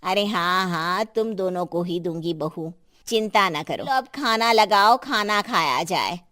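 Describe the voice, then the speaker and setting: A woman's voice: on the low side, animated, measured pace, pushed and bright. A woman voicing a kindly, indulgent mother-in-law with a soothing manner in a cartoon story.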